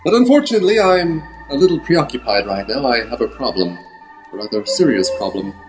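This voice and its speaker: A man's voice: mid-range voice, very animated, brisk, over music, natural voice, low-quality recording. Man playing a melodramatic, vain movie heartthrob. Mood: anguished. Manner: theatrical, self-serious, melodramatic. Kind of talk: improvised old-time radio drama acting.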